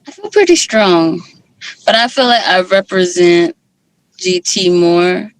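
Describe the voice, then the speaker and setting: A woman's voice: lowish voice, expressive, slow, dry recording, rough recording. A young woman from Guyana, guest musician in a casual interview, talking candidly with lots of fillers.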